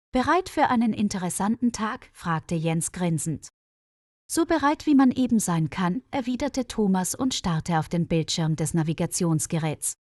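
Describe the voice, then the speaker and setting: A woman narrates a story for language learners. Her intonation moves up and down in an animated way while her articulation stays careful and measured. The recording is dry, with no room sound. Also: medium pitch, dry recording.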